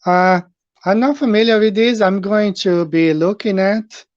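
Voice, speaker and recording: Middle-aged brazilian guy rambling on a live stream, kinda high voice, dull dry mic. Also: measured pace, no room sound. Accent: Brazilian Portuguese. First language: Portuguese. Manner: rambling, with frequent fillers and questions to viewers. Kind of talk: casual live stream chat with viewers. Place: home, in Japan.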